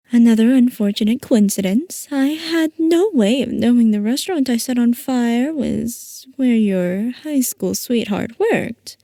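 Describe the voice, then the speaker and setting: Woman playing a slow-talking, playful villainess scientist. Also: on the high side, warm tone, no room sound, clean studio sound. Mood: mock-hurt, defensive. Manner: coy, drawn-out, mock-innocent delivery. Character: playful, theatrical female mad-scientist villain boss, teasing and smug. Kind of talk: scripted roleplay audio, ASMR-style character acting.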